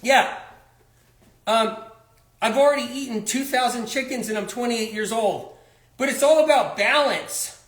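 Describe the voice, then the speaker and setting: A man's voice: very high voice, unhurried, pauses often, a bit bright, echoey room. A man doing a smug boastful diet bragger. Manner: mocking, sing-song, boastful. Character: smug young man bragging about his balanced diet.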